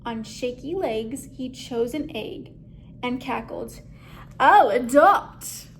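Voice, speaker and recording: A woman reading a picture book aloud expressively, with measured, dramatic phrasing. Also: on the high side, darkish tone. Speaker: story reader.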